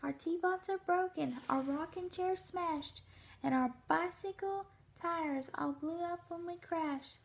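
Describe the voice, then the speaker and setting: A woman voicing a tiny, scared little male mayor from a storybook in a small, soft, plaintive voice. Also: very high-pitched, slow, vocal fry, heavily acted. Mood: plaintive pleading.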